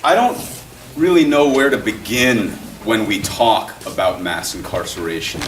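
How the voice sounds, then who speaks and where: A man, a public defender, giving a relaxed, plain-spoken public lecture. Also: on the low side, measured pace, slight background noise. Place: school hall. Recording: echoey room, distant mic.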